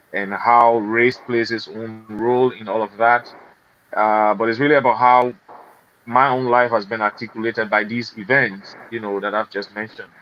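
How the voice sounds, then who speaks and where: Earnest low-voiced man, muffled audio. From Liberia. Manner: earnest, halting, many fillers. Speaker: guest, NGO executive. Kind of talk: conversational interview. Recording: slightly dull, faint noise.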